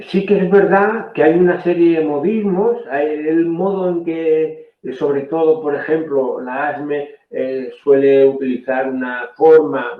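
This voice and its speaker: Guy from spain teaching a class online, keeps going "eh?", sounds tinny and roomy. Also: medium pitch. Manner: explanatory, frequent "¿eh?" checks, self-corrections. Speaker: technical trainer. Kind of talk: online training lecture.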